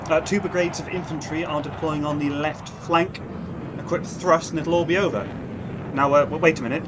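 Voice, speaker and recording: A man's voice: fairly high pitch, steady tone, normal speed, noisy background, close to an everyday voice. A man playing a confident army signal captain reporting live from the field, in a 1930s-style radio drama. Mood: alarmed. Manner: assured, then rising to alarm as he reports from the front.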